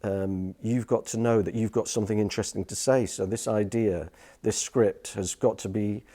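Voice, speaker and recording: Mellow, low-voiced man, emphatic. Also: fairly even intonation, moderate pace. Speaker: radio drama producer giving writing advice. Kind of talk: informal interview talk.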